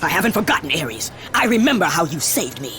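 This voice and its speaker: An actor playing a tormented, vengeful Spartan warrior in a video game, intense, brooding and defiant. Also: male voice, on the high side, big pitch swings, brisk, over music. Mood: bitter. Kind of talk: dramatic video game acting.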